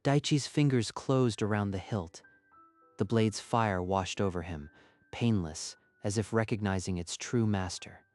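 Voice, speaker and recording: A man's voice: lowish voice, measured pace, a little mellow, soft background music. A man narrating a scripted story in a dramatic style.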